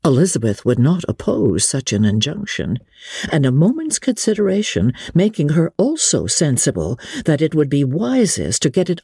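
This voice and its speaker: A woman reads literary fiction with theatrical, measured delivery. Her voice is mellow and husky and moves expressively, recorded dry and close. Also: low voice, dry recording. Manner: measured, theatrical, deliberate phrasing. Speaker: audiobook narrator. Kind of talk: dramatic literary narration. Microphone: close studio microphone.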